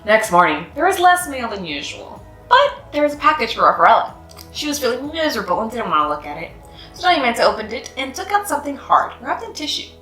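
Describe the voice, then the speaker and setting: Expressive woman reading a storybook aloud. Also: on the high side, a little fast. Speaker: children's book reader. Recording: echoey room with faint music.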